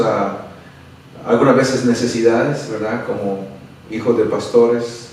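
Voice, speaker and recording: A man's voice: lowish voice, darkish tone, slight background noise. A middle-aged man from El Salvador, a pastor talking earnestly and unhurriedly, often adding tag questions. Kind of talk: interview answers and prayer. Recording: echoey room, a bit far from the mic.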